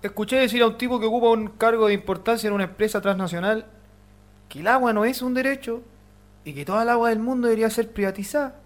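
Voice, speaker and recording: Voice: mid-range voice, quick pace, a little hiss or hum, close to an everyday voice. An actor playing a bemused ordinary man recounting an outrage in radio drama dialogue. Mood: incredulous.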